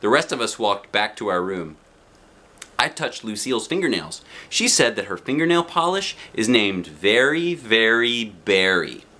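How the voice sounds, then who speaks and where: A man reading a children's storybook aloud in an expressive narrating style with short, simple phrases. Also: lowish voice, unhurried. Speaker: storybook reader.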